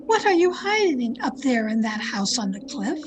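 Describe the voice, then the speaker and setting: A woman playing an obsessive, lovestruck mystery novelist in melodramatic old-time radio style, with pleading, deliberate delivery. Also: on the high side, big pitch swings, background noise. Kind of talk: 1940s radio mystery acting and narration. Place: at home, online video-call performance.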